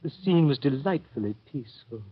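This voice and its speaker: A nervous fussy gentleman. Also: fairly high pitch, lively intonation, brisk. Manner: prim, throat-clearing, precise. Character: nervous fussy man on a rest cure. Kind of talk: 1930s radio drama narration. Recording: dull old radio broadcast.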